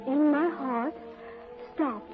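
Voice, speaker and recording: Brief: wistful young ingénue secretary, high voice, old-time radio drama, dull recording. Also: female voice, slow. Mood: breathless anticipation. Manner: wistful, theatrical. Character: young woman, dreamy, romantic, innocent secretary. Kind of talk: 1930s radio drama acting. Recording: muffled vintage radio recording, faint music.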